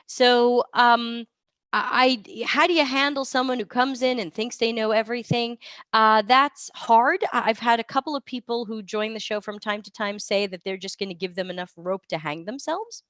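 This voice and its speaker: A woman's voice: fairly high pitch, fairly even intonation, moderate pace, a bit bright, dry recording. A woman who is sick with a cold, hosting a live Q&A stream, chatty and rambling, often stopping to correct herself. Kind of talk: casual live Q&A. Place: hotel or temporary room while travelling.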